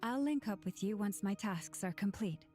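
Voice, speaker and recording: An actress plays a calm, polite but insistent woman in a game. Her tone is soft and dark, with measured phrasing. Light music sits behind it. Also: medium pitch, lightly acted. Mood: firm, gentle. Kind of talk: video game voice acting.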